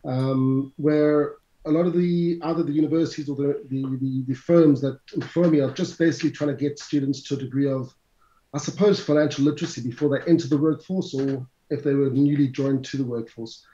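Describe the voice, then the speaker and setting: Man, South African accent, middle-aged, chatty lecturer, mellow tone, roomy slightly muffled sound. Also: mid-range voice, fairly even intonation, fairly quick. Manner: chatty, lecturing asides to listeners. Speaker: CFA instructor, guest. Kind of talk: conversational interview answers.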